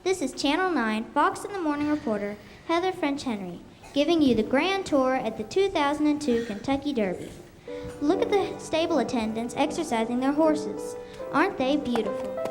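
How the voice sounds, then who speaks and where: A woman's voice: high-pitched, animated, moderate pace, music in the background. A young female performer playing a TV reporter in a scripted school radio show, announcing in a presentational way. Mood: upbeat announcing. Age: child or young, elementary school performer. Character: bubbly female TV reporter touring the Kentucky Derby. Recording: noisy amateur live stage recording.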